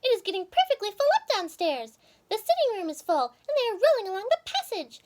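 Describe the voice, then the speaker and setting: A woman's voice: extremely high pitch, sing-song, a little fast, a little mellow, pitched above the performer's own voice. A woman voicing a small, fretful chipmunk wife in a storybook, fussy and chattering. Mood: flustered complaint. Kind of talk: storybook character voice.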